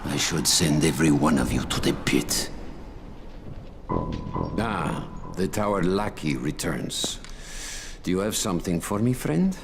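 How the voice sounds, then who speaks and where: A man's voice: deep voice, sing-song, over music, exaggerated voice. A man playing a sneering, grandiose warlord in a video game cutscene, speaking with theatrical contempt. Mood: mocking. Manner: theatrical, contemptuous, drawn-out philosophizing.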